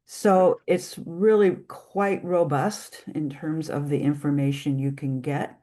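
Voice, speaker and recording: Woman, very low voice, hesitant presenter, fillers and restarts. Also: fairly even intonation, slow, mellow. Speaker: guest presenter. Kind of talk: remote presentation wrap-up.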